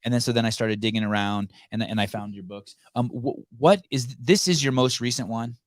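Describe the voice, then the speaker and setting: A man's voice: mid-range voice, a little fast, no room sound, clean studio sound. A man whose first language is Armenian, hosting a casual podcast conversation, rambling with frequent restarts and blunt profanity. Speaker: podcast host. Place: home in California.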